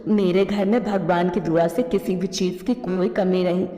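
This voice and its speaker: A woman voicing a caring, worried mother crow in a cartoon story, coaxing and gently scolding. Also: fairly low pitch, steady tone, moderate pace, pitched below the performer's own voice, close to an everyday voice. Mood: firm, protective. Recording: echoey reverberant studio track.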